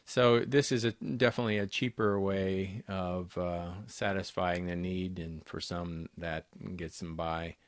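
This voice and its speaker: Deep-voiced man explaining products, dry sound. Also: steady tone, a little slow, few pauses, dry recording. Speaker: intercom company salesperson presenting products. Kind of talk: unscripted product walkthrough over screen recording.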